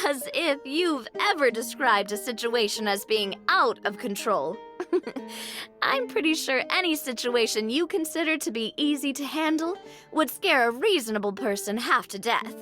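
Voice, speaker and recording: A woman's voice: sits high, expressive, a little slow, a bit bright, soft background music. A woman voicing a bubbly, curious young female adventurer in a video game, candid and teasing in a casual way.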